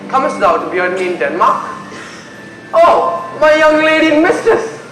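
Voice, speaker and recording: Brooding young prince played by a young man, high-pitched, declamatory Shakespearean delivery, reverberant hall recording. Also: sing-song, normal speed, heavy background noise. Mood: effusive greeting. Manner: declamatory, dramatic. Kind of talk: theatrical Shakespearean stage acting. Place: school stage performance in a hall. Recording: echoey stage recording, loud music.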